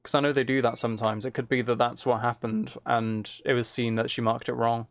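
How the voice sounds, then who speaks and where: Gravelly-voiced man casually streaming a game. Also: mid-range voice, level tone, fairly quick, no echo. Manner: musing aloud, chatting to viewers in asides. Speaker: live streamer. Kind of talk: casual gameplay commentary and chat.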